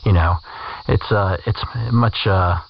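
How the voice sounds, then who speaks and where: Muffled, radio-like audio carries a man with a fairly low voice. He chats reflectively as a panelist, feeling his way haltingly through sentences. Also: slight background noise. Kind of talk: casual reflective chat. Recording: dull muffled radio-like sound.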